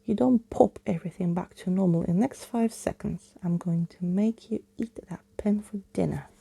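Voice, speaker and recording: A woman voicing a defiant, sharp-tongued monstrous girl who taunts cheekily in a storybook reading. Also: fairly low pitch, normal speed, gravelly, husky, no room sound. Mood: threatening.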